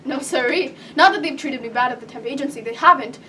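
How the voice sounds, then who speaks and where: Woman acting a high-voiced, flustered job seeker. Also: fast, pushed and bright. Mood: flustered, defensive. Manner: rambling, nervous, self-correcting with awkward laughter. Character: flustered, over-sharing thirty-year-old woman at a job interview. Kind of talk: live theatrical comic monologue. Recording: distant stage mic with background noise.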